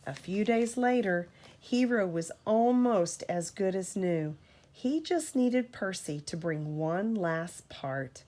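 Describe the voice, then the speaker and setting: A woman reading a storybook aloud to a child as a parent, in an expressive, deliberate storytelling manner. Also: medium pitch.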